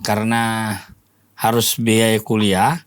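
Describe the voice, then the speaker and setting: A man's voice: lowish voice, monotone, takes it slowly. A man in his forties chatting casually as a guest, telling stories in an interview. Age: 40s. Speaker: podcast guest, political volunteer and former journalist.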